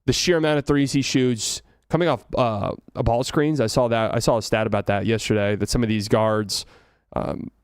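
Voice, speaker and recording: A man's voice: medium pitch, clear, resonant tone, no room sound, studio quality. A man from Texas with a light Texan accent, hosting a casual solo sports talk show, rambling, joking and thinking aloud. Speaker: podcast host.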